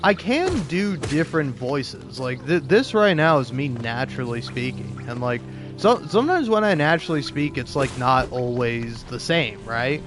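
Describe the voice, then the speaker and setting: Male creator, casual rambling talk at a middle pitch, music underneath. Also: very animated, moderate pace, hardly any pauses. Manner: rambling, self-questioning. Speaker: video creator.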